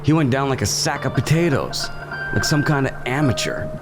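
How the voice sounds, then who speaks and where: A man playing a gruff, weary bounty hunter in a western horror audio drama. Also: mid-range voice, expressive, moderate pace, music in the background, lightly acted. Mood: angry disbelief.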